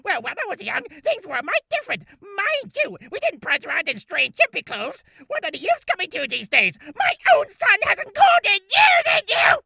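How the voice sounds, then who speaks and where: Shrill, creaky, cranky old woman. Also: huge pitch swings, moderate pace, few pauses, pushed and bright, no room sound, far from the mic, heavily acted. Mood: scornful ranting. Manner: scolding, rambling. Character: cranky, ranting elderly woman, scornful of young people. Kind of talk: video game character voice acting.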